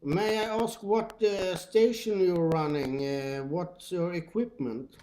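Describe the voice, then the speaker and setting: A man with a Swedish accent, speaking English as a second language, chats casually and haltingly as a radio hobbyist. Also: sits high, heavy background noise. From Sweden. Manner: halting, hesitant. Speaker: CB radio operator. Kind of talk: casual two-way radio chat. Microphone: old radio microphone.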